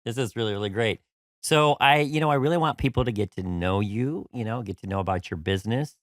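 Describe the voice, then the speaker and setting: A man's voice: lowish voice, fast talker, fairly bright tone, dry recording, studio quality. A man from New York hosting a podcast interview, talking in an upbeat, effusive way with many "you know"s. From New York City. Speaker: podcast host. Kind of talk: casual interview chat.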